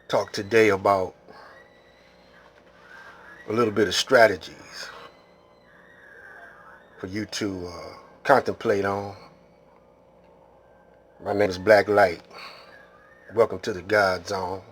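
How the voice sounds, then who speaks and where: An American man hosting a casual monologue, talking in a halting, rambling, streetwise way. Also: on the low side, fairly even intonation, slight background noise. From Detroit, Michigan, United States. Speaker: video host.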